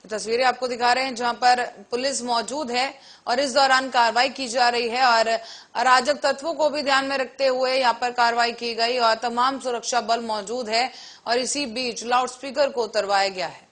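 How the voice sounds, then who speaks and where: A woman's voice: fairly high pitch, steady tone, normal speed, fairly bright tone. A woman reading a television news bulletin as the anchor.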